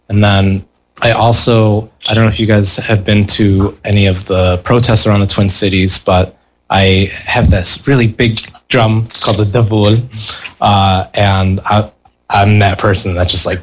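A young man speaking as a panelist in front of a live audience, relaxed and hesitant with many pauses and fillers. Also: on the low side, rough recording. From United States. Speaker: panelist, percussionist. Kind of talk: casual panel talk. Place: event room with a live audience.

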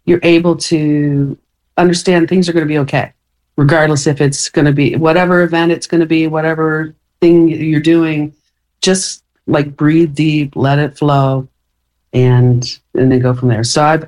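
A woman's voice: deep voice, steady tone, normal speed, a little mellow. A woman guest on a podcast, talking loosely in a rambling way with many fillers and restarts, and laughing easily. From born in London, raised in Michigan. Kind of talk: casual interview.

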